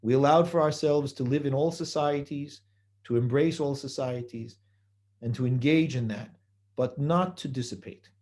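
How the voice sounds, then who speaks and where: A man speaking in a mellow, warm tone as he delivers a holiday sermon. He moves at a measured pace, repeating phrases and asking rhetorical questions as he builds his point. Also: medium pitch. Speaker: rabbi giving a holiday talk.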